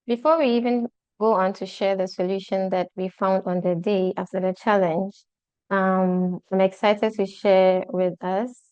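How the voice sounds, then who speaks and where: A woman moderates an online session and speaks haltingly, with frequent filler pauses. Her voice is smooth and mellow. The call audio is dull, lo-fi and dry. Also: mid-range voice, no room sound. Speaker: meeting moderator. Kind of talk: online meeting presentation.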